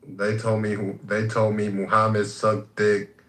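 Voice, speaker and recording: A laid-back man with a fairly low voice, speaking in drawn-out street slang. His voice comes through echoey online voice chat audio. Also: monotone, a bit bright. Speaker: voice chat participant. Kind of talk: heated online group chat.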